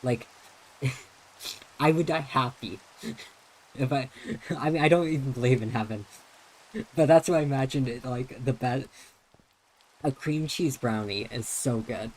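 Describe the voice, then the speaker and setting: A man's voice: medium pitch, measured pace, pauses often, a little mellow, rough recording. A young man streaming a game, chatting casually in a neutral, feminine-leaning voice, rambling with many restarts and fillers. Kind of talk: casual live-stream chat while playing.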